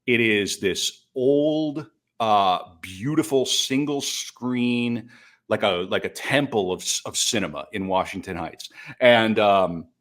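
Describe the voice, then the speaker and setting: A man's voice: on the low side, slow pace, a bit bright. A middle-aged American man from North Dakota telling stories casually and enthusiastically on a podcast, rambling as he goes. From North Dakota, United States. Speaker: podcast speaker. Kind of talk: casual conversational storytelling.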